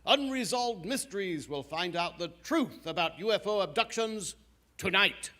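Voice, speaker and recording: Man as a high-voiced, portentous mystery narrator. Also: animated, normal speed, sharp tone, faint music behind. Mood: ominous, dramatic. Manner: dramatic, portentous. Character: ominous male narrator of TV mystery documentary. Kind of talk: scripted mock-documentary narration, live audio drama.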